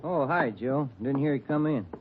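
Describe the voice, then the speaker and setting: A man's voice: medium pitch, moderate pace, phone-quality sound, close to an everyday voice. Man playing plain-spoken detective partner, thin sound. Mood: casual, businesslike. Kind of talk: 1950s radio crime drama acting. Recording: thin, faintly noisy old radio recording.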